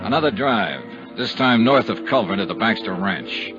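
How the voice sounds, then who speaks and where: An actor in a 1950s radio drama playing a calm, persistent investigator, direct and matter-of-fact as he questions and narrates. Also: fairly low pitch, normal speed, natural voice. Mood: narrating briskly. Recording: dull 1950s radio with music.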